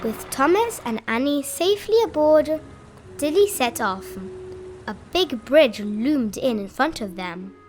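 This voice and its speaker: A woman with a fairly high voice narrates a children's story. Her delivery is very lively in pitch and takes its time, shaping each phrase expressively. Light music sits behind her. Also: a little slow. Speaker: children's read-aloud host.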